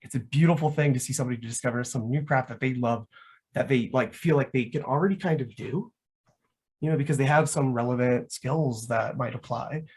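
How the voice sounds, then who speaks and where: A man's voice: mid-range voice, a little fast, darkish tone, husky. A man with a mid-range voice speaks as a guest in a casual, unscripted chat. He is enthusiastic about his hobby and rambles, dropping "like" into many of his sentences. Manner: enthusiastic, rambling, frequent "like" as filler. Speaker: guest, LARP foam crafter.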